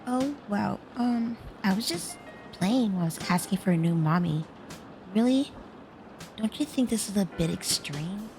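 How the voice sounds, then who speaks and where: A woman's voice: medium pitch, animated, moderate pace, music in the background, natural voice. A woman acting as a witty, cheeky six-year-old girl with mock-innocent sass in an audio drama. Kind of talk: amateur radio drama acting.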